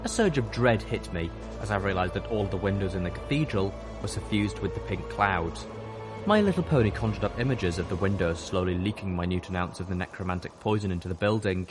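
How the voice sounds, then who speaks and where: Brief: male audiobook narrator, lowish voice, steady first-person reading, music bed underneath. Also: a little fast. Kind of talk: fan fiction audiobook reading.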